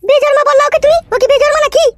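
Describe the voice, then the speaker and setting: A woman's voice: very high voice, fairly even intonation, rapid-fire, heavy background noise. A woman voicing an indignant, foul-mouthed village wife in a comic cartoon, combative and crude.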